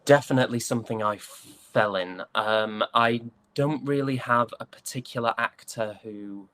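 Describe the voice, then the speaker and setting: A man from Yorkshire with a softened British accent, chatting reflectively and hesitantly as an interview guest. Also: mid-range voice, measured pace, dry recording. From Yorkshire, England. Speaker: interview guest, stage and voice actor. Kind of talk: casual interview chat.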